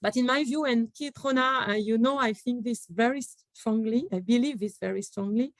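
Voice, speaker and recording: A woman's voice: fairly high pitch, moderate pace, no echo. A woman from France with a French accent, speaking on a conference panel with many fillers and restarts.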